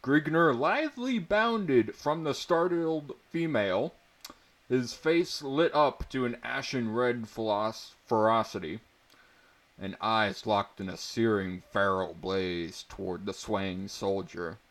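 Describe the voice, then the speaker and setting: A man narrating pulp fantasy as a mock-serious dramatic reading, deliberate and theatrical, stepping out for amused asides. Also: mid-range voice, a bit bright.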